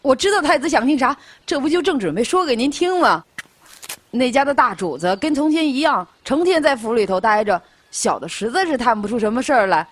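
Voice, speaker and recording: Woman acting a high, bouncy, gossiping servant boy. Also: fairly quick. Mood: eager, deferential. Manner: gossipy, animated, deferential. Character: chatty, eager young servant boy gossiping to his master. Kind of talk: Chinese audio drama acting.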